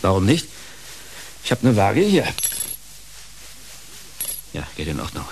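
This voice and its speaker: Radio play role: world-worn older man, deep creaky voice, blunt delivery, slightly hissy recording. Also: huge pitch swings, a little slow, a little mellow, full character voice. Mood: dry, gruff. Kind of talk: naturalistic German radio play acting.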